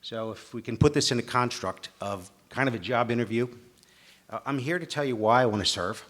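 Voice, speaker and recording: A man's voice: medium pitch, brisk, fairly bright tone. A man running for township committee, giving a friendly, plainspoken opening statement.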